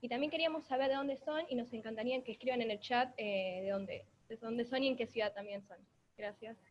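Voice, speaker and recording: A woman's voice: on the high side, brisk, dull audio, slight background noise. A young woman from Argentina with an Argentine accent, a volunteer giving announcements over a video call with some hesitation. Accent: Argentine Spanish. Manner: hesitant, fillers.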